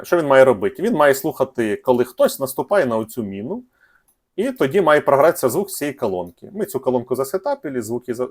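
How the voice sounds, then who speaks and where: Man doing a laid-back coding tutorial, slangy, thinks out loud, average pitch. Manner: informal, slangy, thinking aloud with hesitations. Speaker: programming tutorial host. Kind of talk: casual screen-share coding tutorial.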